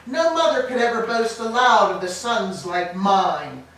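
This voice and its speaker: A woman's voice: mid-range voice, unhurried, close to an everyday voice. A woman playing a grieving, proud old enslaved queen, declaiming laments in a staged reading of Greek tragedy. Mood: weary lament. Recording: distant, echoey hall with background noise.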